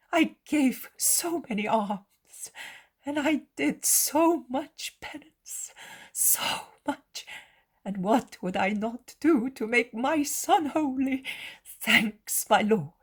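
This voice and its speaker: A woman's voice: medium pitch, dark tone, breathy edge, pitched above the performer's own voice. A woman voicing a devout, anxious mother in a storybook, speaking in an imploring and effusive way.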